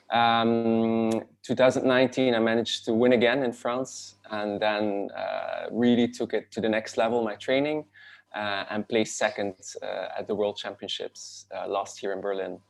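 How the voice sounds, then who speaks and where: A man in his thirties from Belgium introduces himself on a video call, speaking from a room at home through a laptop mic. His voice sits low with a gravelly edge, and he talks in a loose, meandering way full of fillers and restarts. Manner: rambling, many fillers and restarts. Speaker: panelist.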